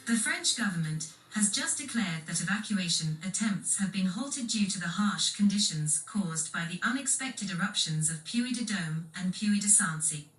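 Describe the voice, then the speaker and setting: A woman's voice: on the low side, expressive, normal speed, few pauses, fairly bright tone, soft background music. A woman playing a serious TV news anchor, formally reporting live on a crisis. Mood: urgent, serious. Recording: echoey, distant sound.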